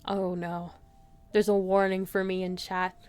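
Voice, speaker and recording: A woman's voice: mid-range voice, little pitch movement, a little slow, darkish tone, clean tone. A woman livestreaming a visual novel read-aloud, giggling and reacting aloud in casual asides. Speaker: streamer.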